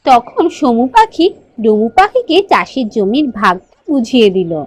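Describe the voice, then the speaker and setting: A woman's voice: on the high side, big pitch swings, unhurried, darkish tone, no room sound. A woman narrating a children's fairy tale cartoon in a scripted storytelling style.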